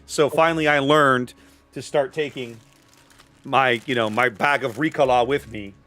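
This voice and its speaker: A man co-casting a livestreamed game tournament from home, loud and easygoing in casual chat, his voice starting to tire. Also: medium pitch, fairly even intonation, moderate pace. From Texas. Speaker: tournament co-caster and streamer. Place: home streaming setup.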